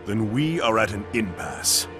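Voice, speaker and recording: An actor playing a grim, vengeful ninja clan master in a video game, terse and formal, with a gravelly voice. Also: male voice, fairly low pitch, sing-song, a little slow. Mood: grim, resolute. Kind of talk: dramatic video game cutscene acting. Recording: music under the voice. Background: loud cinematic music.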